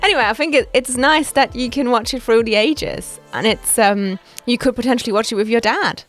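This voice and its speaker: A woman's voice: fairly high pitch, brisk, soft background music. A woman who is an executive producer, co-hosting a podcast in an informal, chatty conversational style. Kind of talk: casual two-person podcast chat.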